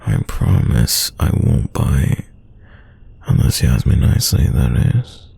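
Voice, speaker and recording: Man acting a very deep, gravelly teasing crush. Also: lively intonation, slow, no echo, heavily acted. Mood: playful teasing. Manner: playful, teasing, soft close delivery. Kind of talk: romantic roleplay audio, intimate one-sided dialogue.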